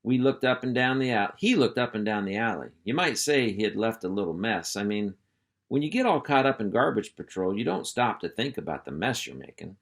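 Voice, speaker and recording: A man's voice: on the low side, lively intonation, brisk, gravelly. Folksy man reading a storybook aloud. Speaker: school superintendent reading aloud. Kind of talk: storybook read-aloud narration.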